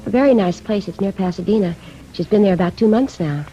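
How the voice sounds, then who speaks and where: An actress playing a polite, evasive middle-aged American housekeeper who speaks in a genteel, hesitant, self-excusing way in a 1950s radio drama. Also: female voice, mid-range voice, fast talker, mellow, natural voice. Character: polite, evasive housekeeper, a paroled murderess hiding something. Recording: muffled, faintly noisy 1950s radio recording.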